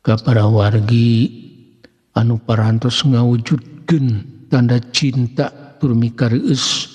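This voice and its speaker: A man with a Sundanese accent narrating a scripted dramatic tale in a formal, measured manner. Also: mid-range voice, fairly even intonation, a little mellow, husky. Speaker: narrator of Sundanese serial tales. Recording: long hall-like reverb on voice.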